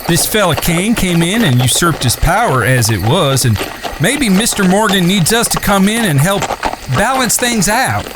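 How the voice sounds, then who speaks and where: An actor playing a wry, shrewd gunslinging brother in an Old West audio drama. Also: male voice, high voice, lively intonation, moderate pace. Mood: wry, suspicious. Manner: folksy, wry. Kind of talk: audio drama western acting. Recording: noisy track with cricket-filled outdoor ambience.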